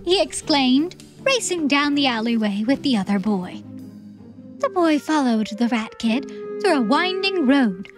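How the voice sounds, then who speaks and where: A woman's voice: high-pitched, very animated, measured pace, faint music behind. A woman reading scripted fantasy storybook narration as an audiobook narrator.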